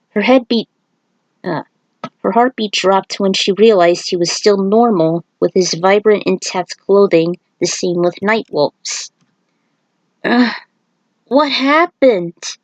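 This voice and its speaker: A woman's voice: mid-range voice, no room sound, poor audio. Woman narrating a story, very dry sound. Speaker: narrator of own fan fiction.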